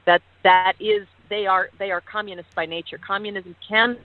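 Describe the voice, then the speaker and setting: A woman's voice: mid-range voice, level tone, normal speed. A woman guest talking on the phone, emphatic and opinionated, restarting often. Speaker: guest, actress and activist. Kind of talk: phone-in interview. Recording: tinny phone line.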